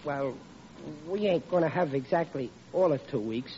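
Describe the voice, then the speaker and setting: An actor playing a hapless, well-meaning working-class American bridegroom in an old radio comedy, with folksy, ungrammatical, easily flustered speech. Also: male voice, on the high side, animated, normal speed, warm tone, close to an everyday voice. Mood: sheepish. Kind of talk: old-time radio situation comedy acting. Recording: muffled, noisy vintage radio recording, audience behind.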